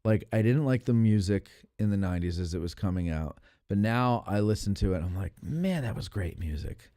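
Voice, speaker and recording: A man's voice: fairly low pitch, animated, a little fast, dark tone, no room sound, studio quality. A man in his forties chatting casually as a podcast host, rambling and opinionated in a self-deprecating way. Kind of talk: casual chat.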